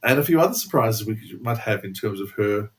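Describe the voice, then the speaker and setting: A man's voice: on the low side, animated, fairly quick. An enthusiastic Australian man chatting casually as a podcast co-host, rambling along as he goes.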